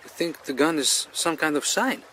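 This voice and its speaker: An actor playing an earnest, tender-hearted gold prospector full of wonder, in expressive radio drama style with short phrases. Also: male voice, a little high, moderate pace, slight background noise. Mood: intrigued, hushed wonder.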